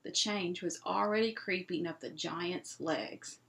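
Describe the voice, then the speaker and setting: A woman reading a children's novel aloud as an expressive storyteller. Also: on the low side, measured pace, vocal fry. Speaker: storybook reader.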